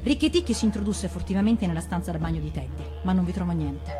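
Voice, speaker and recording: A woman reading a fable for radio in an expressive, deliberate, dramatic storytelling manner. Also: fairly low pitch, music in the background. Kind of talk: expressive scripted storybook narration.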